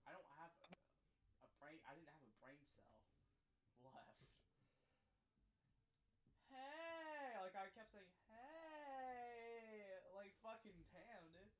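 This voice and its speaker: A man chatting loudly and joking with plenty of swearing while he plays a game. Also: sits high, big pitch swings, takes it slowly, pauses often. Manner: loud, joking, swears freely. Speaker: podcast host. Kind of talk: casual gaming chat.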